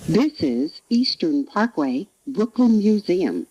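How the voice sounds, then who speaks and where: A woman reading scripted transit announcements as a train announcer, in measured, separate phrases. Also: fairly low pitch, big pitch swings, warm tone, highs cut off. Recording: recorded train announcement with clear noise.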